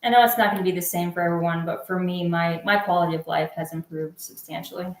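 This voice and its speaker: A woman co-hosting a casual live chat, talking in a relaxed, conversational way. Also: lowish voice, fast talker. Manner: relaxed, conversational, laughs easily. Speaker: livestream co-host. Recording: echoey room.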